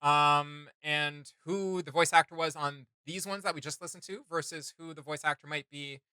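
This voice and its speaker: A man's voice: fairly high pitch, fairly even intonation, sharp tone, smooth, no echo. A man giving casual, opinionated reaction commentary as a host, emphatic and informal, often circling back over his words. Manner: emphatic, halting and repetitive, informal.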